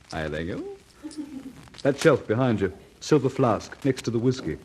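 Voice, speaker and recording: An actor playing a feckless young gambling son with an English accent, offhand and distracted, in an old radio comedy. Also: male voice, medium pitch, big pitch swings, measured pace, a little mellow, slight background noise, rough recording.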